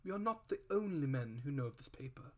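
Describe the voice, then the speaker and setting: A man voicing a shrewd, level-headed gentleman doctor in a dramatic audiobook, measured and dry in manner. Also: on the high side, expressive, lightly acted. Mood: firm, cautioning.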